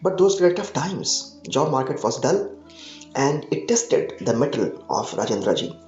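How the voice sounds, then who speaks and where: A man's voice: medium pitch, very animated, darkish tone. Man narrating a documentary over music. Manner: measured, descriptive storytelling. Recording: dull roomy voice-over over music.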